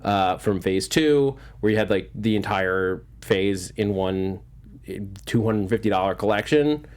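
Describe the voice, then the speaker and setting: A man's voice: fairly low pitch, unhurried. Enthusiastic man hosting a show. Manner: enthusiastic, animated storytelling with acted-out asides. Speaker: show host. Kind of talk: casual movie news chat.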